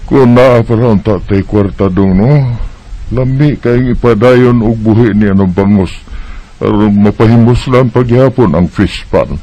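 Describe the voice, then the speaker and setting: A man's voice: on the low side, measured pace, warm tone, poor audio. An actor playing a humble, hard-working older father in a Bisaya radio drama, speaking in a homely, hesitant way with a Filipino Bisaya accent. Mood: resigned, dutiful.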